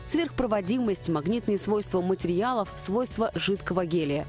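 A woman reading a scripted TV voice-over in an even, formal way. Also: medium pitch. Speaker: news presenter.

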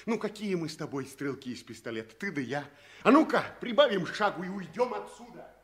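An actor playing a jolly, good-natured tramp in a radio play, with a folksy, bantering delivery. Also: male voice, high-pitched, lively intonation, a little fast. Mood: jaunty. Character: hearty, good-natured adult male tramp, jolly and teasing. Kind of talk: 1960s Soviet radio play acting.

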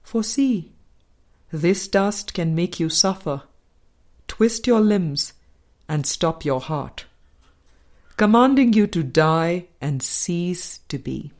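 A woman narrating a spiritual book aloud in a measured, deliberate way, pausing between phrases. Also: lowish voice, expressive, a little mellow. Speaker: audiobook narrator.

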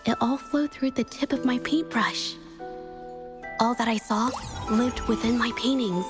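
An actress voices a gentle young woman painter in a video game, her voice a little high. She speaks softly and earnestly, in measured, reflective phrases, over clearly present background music. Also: natural voice. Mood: wistful. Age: young adult. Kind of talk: dramatic game dialogue and reflective narration.

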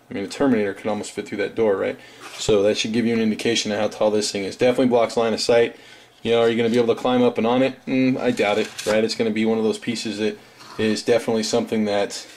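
A man in his thirties with a raspy voice, hosting a casual tabletop terrain review for his viewers, loose and chatty. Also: medium pitch, darkish tone. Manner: loose and chatty, full of fillers and asides. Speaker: tabletop hobby channel host.

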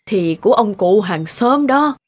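A woman playing a kindly, hesitant elderly grandmother in a cartoon, speaking haltingly and evasively. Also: medium pitch, lively intonation, husky. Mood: gentle, busy. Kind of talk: cartoon dialogue acting.

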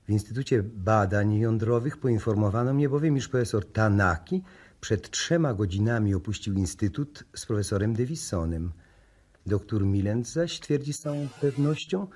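An actor playing a courteous, guarded Japanese embassy diplomat in a radio play, speaking formally and with measured politeness. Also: male voice, lowish voice, a little mellow, husky. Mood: puzzled, insistent. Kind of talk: 1960s Polish radio play acting.

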